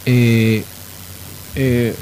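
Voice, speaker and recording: A man's voice: mid-range voice, extremely slow. A man hosting a live radio discussion, explaining didactically and repeating phrases for emphasis. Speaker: radio talk host. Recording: noisy live radio with steady hiss.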